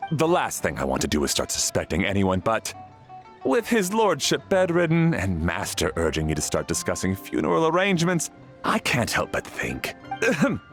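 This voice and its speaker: Worried family butler, lowish voice, swooping intonation, formal, deferential, hesitant, video game dialogue, faint music behind. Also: male voice, huge pitch swings, moderate pace, a bit bright, full character voice. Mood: uneasy, confiding. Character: polite, worried male family butler in fantasy China. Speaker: voice actor.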